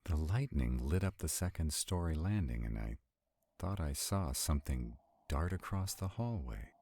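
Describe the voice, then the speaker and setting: A man playing an arrogant, protective centuries-old vampire in a roleplay audio drama, haughty and brooding, with a gravelly, husky voice. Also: extremely low pitch, expressive, normal speed, mellow, no echo, exaggerated voice. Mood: uneasy, wary.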